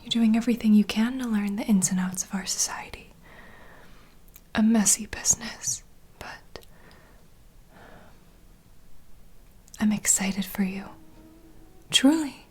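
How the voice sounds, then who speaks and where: A woman playing a nurturing, gossipy vampire bartender in an ASMR fantasy roleplay, speaking in a soft, breathy, confiding whisper. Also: mid-range voice, normal speed. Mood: encouraging, intimate. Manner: intimate, confiding, gentle.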